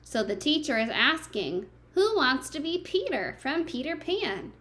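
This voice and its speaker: A woman's voice: sits high, very animated, unhurried, a bit bright, slight background noise. A woman reading a picture book aloud to young children like a teacher, expressively, with simple chatty asides and questions to her listeners.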